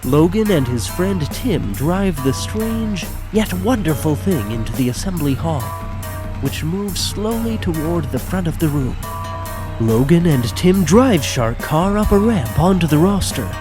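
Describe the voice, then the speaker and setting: Animated higher-voiced male narrator. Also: a little slow, music in the background. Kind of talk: scripted narration of stage action.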